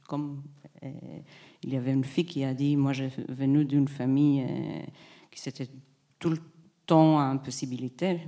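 A woman's voice: extremely low pitch, dark tone. A woman from Israel, whose first language is not French, giving a hesitant interview answer and searching for words. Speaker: guest.

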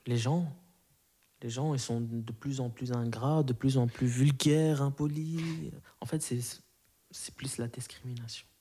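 A young man in his early twenties who grew up in Belgium with Arabic as his first language. His voice is soft-edged and mellow. He talks casually as an interview guest, pausing to find his words. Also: mid-range voice, steady tone, husky. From moved to Belgium at five, grew up there. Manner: hesitant, searching for words. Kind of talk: casual, reflective interview answers.

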